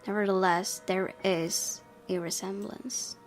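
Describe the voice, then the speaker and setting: A woman with a mid-pitched, noticeably creaky voice plays through a visual novel. She reads the narration aloud and slips into casual, giggly, chatty asides. Loud music plays behind her. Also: fairly even intonation, slow pace. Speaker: gaming video host. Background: loud game music.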